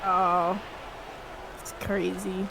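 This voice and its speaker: A woman's voice: fairly low pitch, level tone, drawn-out words, vocal fry, background noise. A woman doing upbeat, chatty color commentary, full of fillers and easy laughter. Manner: upbeat, chatty, laughs easily, lots of likes. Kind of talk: casual sports commentary banter.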